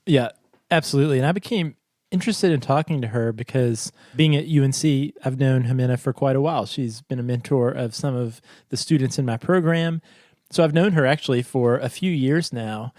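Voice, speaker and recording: A man's voice: medium pitch, brisk, no echo. Guy cohosting a podcast, easygoing, jokes at himself, dry close mic. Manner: relaxed storytelling, self-deprecating. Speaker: podcast co-host. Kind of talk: casual podcast chat.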